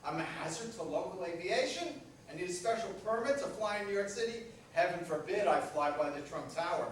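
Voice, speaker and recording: A man's voice: high voice, lively intonation, brisk, recorded from a distance. A man playing a defensive, self-important aging superhero who is out of work, in a staged comedy play reading. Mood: grumbling. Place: echoey room at a live staged reading. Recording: very echoey hall from afar, faint noise.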